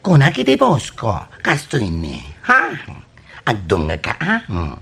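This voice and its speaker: A woman's voice: rumbling low, wildly swooping pitch, a little slow, gravelly, fairly bright tone, husky, full character voice. A woman playing a brash, teasing, gossipy friend in an Ilocano comedy radio drama, with an Ilocano accent. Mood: sassy, boastful.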